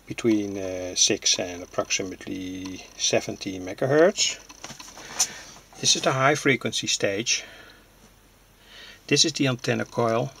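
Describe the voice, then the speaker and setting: A man with a creaky voice gives a halting, unscripted technical demonstration, explaining things plainly. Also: on the low side, fairly bright tone, a little hiss or hum. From Netherlands. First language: Dutch. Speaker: hobbyist radio builder, presenter. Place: indoors at a home workbench. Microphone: handheld camera's built-in microphone.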